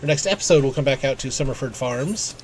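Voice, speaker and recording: A man's voice: mid-range voice, fast talker, a little mellow, a little hiss or hum. A man giving casual let's-play commentary as a game streamer.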